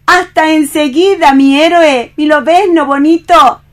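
A woman's voice: high-pitched, fairly even intonation, a little slow. An actress with a Spanish accent from Spain playing a bossy, flamboyant, seductive woman in a radio play reading. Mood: breezy, flirtatious. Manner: imperious, theatrical.

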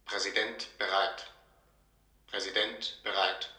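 An actor playing an official announcer in a science-fiction radio play, calling out formally and impersonally. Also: male voice, lowish voice, little pitch movement, slow, bright, forceful tone, exaggerated voice. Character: male announcer relaying bunker and spaceship calls. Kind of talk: German radio drama announcement. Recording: echoing public-address style sound.

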